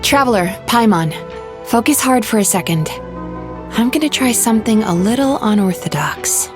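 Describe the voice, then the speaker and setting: A woman voicing a composed, gentle young dream therapist who explains things calmly in an anime-style video game. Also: a little high, normal speed, music in the background, natural voice. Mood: serious, explanatory.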